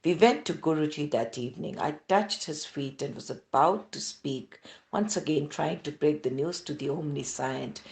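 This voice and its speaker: A woman with an Indian accent reading a devotional testimony aloud as scripted narration, in an expressive storytelling manner. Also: deep voice, normal speed, vocal fry.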